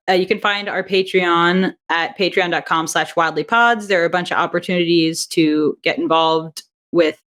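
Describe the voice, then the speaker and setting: A young adult woman, a guest on an interview over a video call, talking enthusiastically about her audio drama and thinking aloud with frequent restarts. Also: low-pitched. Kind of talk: casual interview chat.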